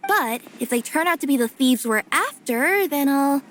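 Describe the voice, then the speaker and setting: A woman's voice: high voice, animated, moderate pace, fairly bright tone, a little hiss or hum. A woman voicing an earnest, honest young female cat-spirit courier who gets flustered easily, in a game cutscene. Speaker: delivery courier.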